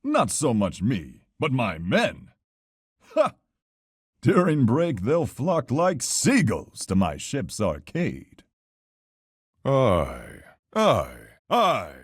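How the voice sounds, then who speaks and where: An actor playing a gruff, level-headed sea captain with salty slang and a folksy, measured manner in a video game. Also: male voice, medium pitch, wildly swooping pitch, dry recording, clean studio sound, exaggerated voice. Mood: hearty, easygoing. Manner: salty seafaring slang, measured deductive delivery. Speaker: voice actor in a fan-made mystery game. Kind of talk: video game character voice lines.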